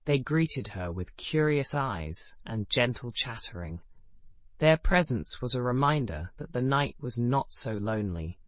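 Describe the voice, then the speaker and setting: A man narrating a scripted bedtime story in a calm, measured way, his sentences falling away at the ends. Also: medium pitch, no echo.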